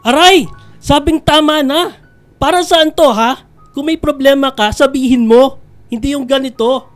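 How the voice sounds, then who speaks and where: A high-pitched, easygoing young guy in love, chatty and boyish, in a radio drama. Music runs underneath his voice. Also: a little slow. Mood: indignant. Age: young adult. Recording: music behind the dialogue.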